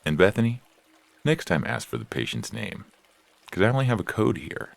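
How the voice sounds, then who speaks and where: A man playing a considerate, professional therapist who is calm and accommodating, in a science fiction audio story. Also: fairly low pitch, normal speed, gravelly, pitched above the performer's own voice. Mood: brisk. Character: considerate, professional male therapist with robot patients. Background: music.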